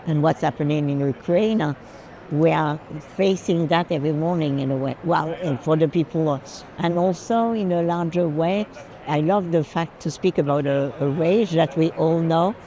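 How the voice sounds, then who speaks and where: Low, mellow woman. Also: normal speed. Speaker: curator and collection advisor. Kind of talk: casual art discussion. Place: busy art fair. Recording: clear urban ambient noise.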